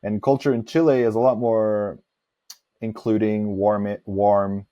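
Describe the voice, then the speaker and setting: A man's voice: lowish voice, little pitch movement, unhurried, mellow, dull audio. A man who grew up in Norway, talking reflectively and giving his opinions as host of a casual interview.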